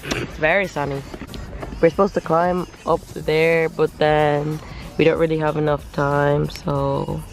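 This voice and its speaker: A hoarse young woman chatting casually in a vlog, rambling with lots of fillers, filmed outdoors on a handheld camera. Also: low voice, flat delivery, measured pace. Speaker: vlogger. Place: outdoors on a sunny day. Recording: background music playing under voice.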